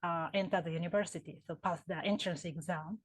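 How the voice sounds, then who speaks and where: A woman's voice: fairly low pitch, fairly even intonation, a little fast, slightly rough, no echo. A woman with a Japanese accent, working in HR consulting, answers interview questions thoughtfully, with many fillers and restarts. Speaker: HR consultant and career counselor.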